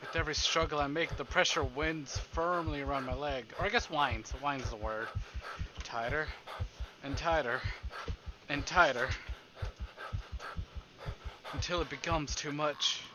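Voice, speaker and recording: A man streaming a visual novel, reading it aloud theatrically and deliberately, with livelier asides. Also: fairly high pitch, a crowd in the background, rough recording. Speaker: game streamer. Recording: music behind the voice. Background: game background music.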